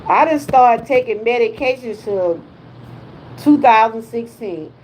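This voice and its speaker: A woman's voice: fairly high pitch, expressive, measured pace, darkish tone, slight background noise, rough recording. A woman in her fifties with a Southern US accent, chatting casually on her wellness livestream with folksy exclamations. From Georgia, USA. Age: 50s. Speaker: wellness vlogger.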